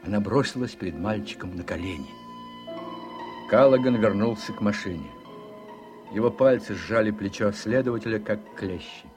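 A man's voice: fairly low pitch, moderate pace, music in the background. A man narrating a Russian radio play in a scripted, storytelling style.

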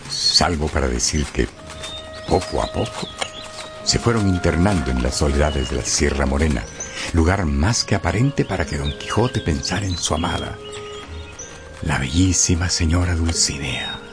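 A man narrating a radio drama as a wry, expressive storyteller. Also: a bass voice, moderate pace. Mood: wry storytelling. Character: wry, leisurely male storyteller. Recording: studio radio drama with background music.

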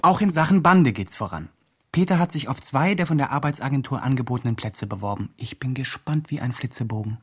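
A man's voice: mid-range voice, animated, a little fast, slightly rough. An actor playing an earnest, upbeat young fundraising campaigner who narrates his progress in an eager, self-important way. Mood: hopeful, rallying. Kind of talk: scripted campaign diary narration.

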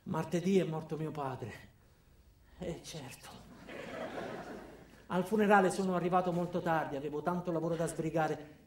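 An actor playing an ordinary man turned bitter and cruel, delivering a deliberate, theatrical first-person monologue for a radio drama. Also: a little high, background noise, natural voice. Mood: cold, detached. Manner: theatrical, deliberate, swinging from loud to hushed.

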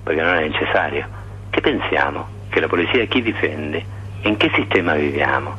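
A man with a very low, forceful voice on a slightly noisy archive radio recording. He argues off the cuff in halting bursts, full of rhetorical questions. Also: lively intonation, dull audio. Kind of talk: spontaneous impassioned political talk.

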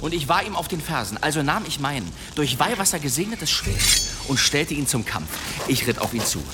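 A man's voice: on the high side, sing-song, a little fast, background noise. An actor playing a boastful medieval demon hunter, telling his tales in a courtly, suspenseful manner in a German radio drama. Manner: theatrical, suspenseful, courtly delivery.

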